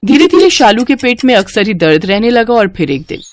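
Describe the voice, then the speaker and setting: A woman's voice: fairly high pitch, big pitch swings, fairly quick, dry recording. A woman storyteller reading scripted narration for a moral tale.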